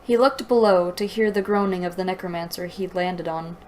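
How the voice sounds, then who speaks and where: A woman's voice: fairly low pitch, normal speed, darkish tone, slight background noise. A woman hosting a book review, reading aloud and commenting with exasperated sarcasm. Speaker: host of a book review show.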